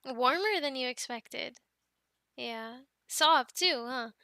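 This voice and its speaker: A woman's voice: sits high, expressive, slow pace, sharp tone, no room sound, clean studio sound, full character voice. A woman playing a friendly, encouraging dragon keeper in an ASMR roleplay, chatting playfully with the listener and cooing to animals like pets. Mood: gentle, instructing.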